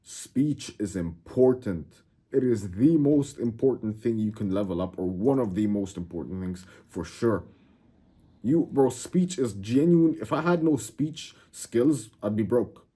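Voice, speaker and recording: Husky low-voiced man, emphatic and brash. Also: lively intonation, a little slow, mellow. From Egypt. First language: Arabic. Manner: emphatic, brash, keeps saying "bro". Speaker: self-improvement YouTuber. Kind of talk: casual direct-to-camera talk.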